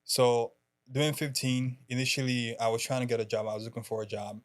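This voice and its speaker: A man in his twenties from Haiti, a podcast guest who talks softly in casual chat and laughs often. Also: mid-range voice, level tone, brisk. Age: 20s. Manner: soft-spoken, laughs often, hesitant fillers.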